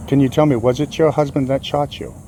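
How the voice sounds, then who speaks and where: A man telling a true crime story informally on location, in halting, dramatic short phrases. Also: mid-range voice, level tone, dark tone, poor audio. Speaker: host of a cemetery exploring channel. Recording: clear vehicle and ambient noise.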